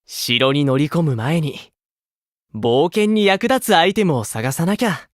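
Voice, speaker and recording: An actor playing a gentle, playful grown man, a caring older teammate in an idol game, with a coaxing manner. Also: a little high, expressive, unhurried, no room sound, studio quality. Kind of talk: Japanese mobile game voice acting.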